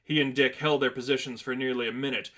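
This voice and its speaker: A man narrates scripted fiction at a middle pitch. He reads prose as the storyteller of a fan-written tale. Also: steady tone, fast talker, a bit bright.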